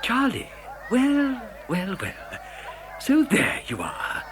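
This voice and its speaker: A man's voice: extremely high pitch, wildly swooping pitch, slow, a bit bright, a little hiss or hum, heavily acted. A man playing an eccentric, gleeful chocolate factory owner in an audio dramatisation, effusive, stammering and theatrical. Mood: effusive welcome.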